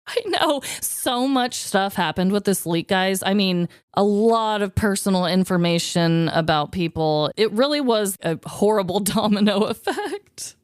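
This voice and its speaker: Animated woman podcast co-host, dry close sound. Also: medium pitch, measured pace, no room sound. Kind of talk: casual podcast chat.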